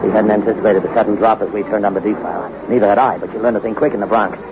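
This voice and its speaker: An actor playing a ruthless, greedy treasure-hunting thug in a hard-boiled, dramatic 1960s radio suspense style. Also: male voice, lowish voice, fairly even intonation, racing, lightly acted. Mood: callous, gloating. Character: greedy, ruthless Bronx thug narrating his treasure hunt. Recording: dull, very noisy old radio recording.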